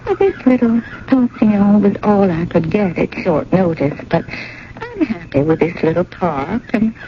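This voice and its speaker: A woman's voice: medium pitch, very animated, a little slow. An actress playing a genteel, sentimental old widow in a radio drama, chatty and ladylike, with hesitant repetitions. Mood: chatty, wistful. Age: older. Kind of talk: 1980 American radio drama acting. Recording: dull, noisy old radio broadcast.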